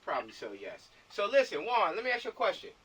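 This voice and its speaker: A man's voice: high voice, wildly swooping pitch, quick pace, sharp tone, breathy edge. An American man casually directing a planning chat over a video call, repeating himself and often checking that he is understood. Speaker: film director running a meeting. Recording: distant mic on video call.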